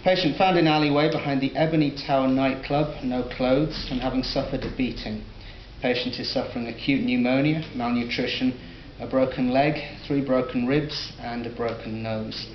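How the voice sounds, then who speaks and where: An actor with a Northern English accent reading a late-night radio DJ, a newsreader and a doctor's notes in a scripted audio drama. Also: male voice, mid-range voice, fairly even intonation, normal speed, natural voice. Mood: flat. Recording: dull lo-fi roomy recording, faint hiss.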